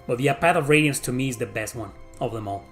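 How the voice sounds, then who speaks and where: A middle-aged man with a Mexican accent chats through viewer questions at a mid pitch. He talks bluntly, swears freely and rambles with lots of fillers. Faint music plays underneath. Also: quick pace, fairly bright tone. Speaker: YouTube host answering viewer questions. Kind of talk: casual Q&A chat.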